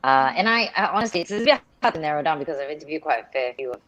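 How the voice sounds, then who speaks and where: A woman's voice: deep voice, animated, racing, a bit bright, dry recording. A woman from Singapore, a radio presenter, chatting as a guest on a live video call and telling stories with many restarts and laughs. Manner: chatty storyteller, restarts sentences, laughs often.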